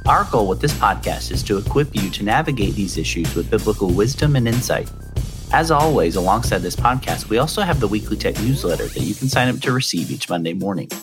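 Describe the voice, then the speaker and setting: A man's voice: on the low side, a little fast, over music. A man hosting a podcast, reading a polished intro and then interviewing a guest. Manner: polished, steady delivery. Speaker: podcast host.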